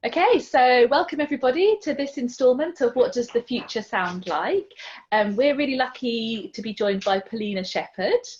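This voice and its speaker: A woman's voice: fairly high pitch, expressive, moderate pace, a little mellow, lo-fi sound, soft background music. A woman hosting a museum interview over a video call, speaking with many hesitant ums. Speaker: museum host.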